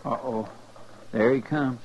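A man's voice: medium pitch, fairly even intonation, unhurried, a little hiss or hum, lightly acted. Man playing an anxious western sidekick, muffled recording. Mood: worried. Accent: American. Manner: deferential, hesitant. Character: anxious, loyal, deferential marshal's helper, grown man. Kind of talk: 1950s radio western acting. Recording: dull radio recording, loud background.

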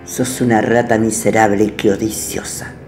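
A woman's voice: a bass voice, flat delivery, moderate pace, a little mellow, roomy sound, soft background music. A woman with a Rioplatense accent playing a bitter, defiant older woman in a radio play, speaking in a mocking, sneering way. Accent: Rioplatense Spanish.

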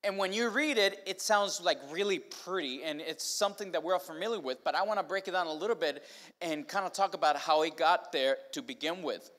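A man's voice: high voice, a little fast, a bit bright. A middle-aged man from Mexico with a Mexican Spanish accent, preaching a casual, humorous sermon on a large stage into a handheld mic, full of fillers and restarts. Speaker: pastor. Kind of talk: casual sermon to college students. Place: large stage of a church or college auditorium. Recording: long hall reverb.

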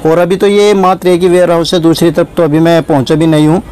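A man's voice: a little high, little pitch movement, quick pace, a little mellow, smooth. A man in his thirties hosting a vlog, touring a wholesale shop, agreeable and talking straight to his viewers. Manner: agreeable, keeps saying yes, addresses viewers. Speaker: vlogger host. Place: wholesale shop storeroom, indoors.

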